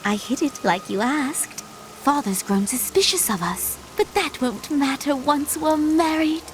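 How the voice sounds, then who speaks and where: A woman's voice: sits high, a little slow, slight background noise, rough recording. A woman voicing a naive, lovestruck young woman who sounds sweet and eager.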